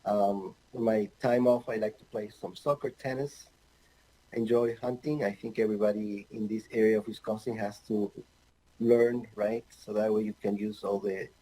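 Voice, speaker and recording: Mellow-voiced man from Ecuador lecturing. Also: fairly low pitch, fairly even intonation, slight background noise, rough recording. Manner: halting, many fillers, light humor. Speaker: anesthesiologist presenter. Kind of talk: recorded online medical lecture. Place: home office with bookshelves.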